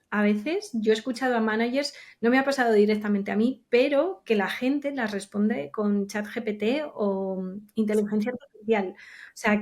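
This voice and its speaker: A woman talks in a medium-pitched voice. She is a recruiter appearing as a podcast guest, telling anecdotes in a casual, conversational way. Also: a little mellow.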